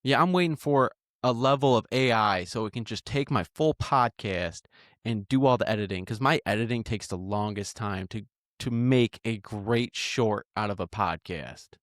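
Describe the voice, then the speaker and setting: A man's voice: lowish voice, moderate pace, no echo, clean studio sound. A man in his twenties hosting a podcast, talking casually and hesitantly, often restarting his sentences. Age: 20s. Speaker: podcast host.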